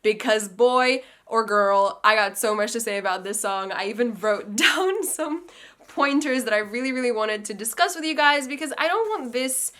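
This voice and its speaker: A woman's voice: on the high side, normal speed, fairly bright tone. A young woman, a native Russian speaker, casually breaking down song lyrics as a learner, thinking aloud and correcting herself as she goes. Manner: chatty, self-correcting, thinks aloud while translating. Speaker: language learning host.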